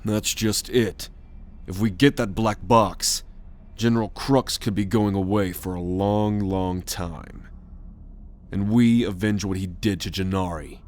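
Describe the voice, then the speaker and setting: A man's voice: deep voice, fairly even intonation, unhurried, a little mellow. A man playing a cocky, commanding alien rogue with a sardonic manner in an ASMR roleplay audio drama. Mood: serious, persuasive. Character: cocky male alien rogue, bold leader on a mission.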